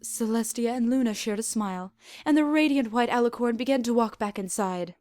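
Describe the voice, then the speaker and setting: A woman reading fan fiction aloud as an expressive scripted narration. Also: on the high side, a little fast, dry recording.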